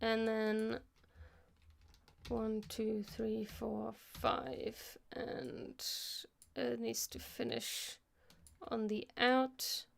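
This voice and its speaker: A woman hosting a live stream, casually talking through a software tutorial as she works, thinking aloud into a close microphone. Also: on the high side, level tone, extremely slow, gravelly. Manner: casual, chatty. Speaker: host of an animation live stream.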